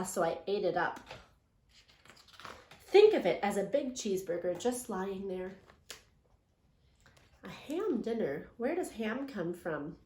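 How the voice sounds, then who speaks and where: A woman's voice: a little high, dark tone. A woman teacher reading a storybook aloud expressively, stretching words dramatically and putting questions to her young listeners. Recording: echoey room.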